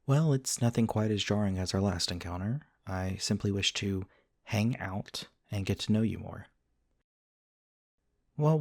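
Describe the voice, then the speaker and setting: Guy doing a polite nerdy scientist for asmr roleplay, low voice, kinda awkward and formal, super dry close sound. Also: a little mellow, dry recording. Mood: awkward, earnest. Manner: polite, wordy, precise, slightly awkward. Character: earnest, formal, socially awkward male research scientist. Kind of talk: ASMR roleplay, one-sided acted conversation.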